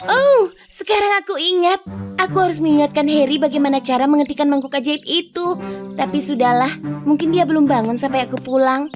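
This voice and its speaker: A woman's voice: high-pitched, brisk, close to an everyday voice. A woman voicing a sweet, forgetful young girl in a cartoon, speaking eagerly in a childlike way. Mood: earnest. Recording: music behind.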